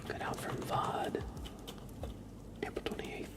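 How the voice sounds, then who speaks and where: A man chatting casually as an ASMR livestream host in a soft, breathy whisper, with an informal, halting, rambling way of talking. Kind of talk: ASMR, casual live chat.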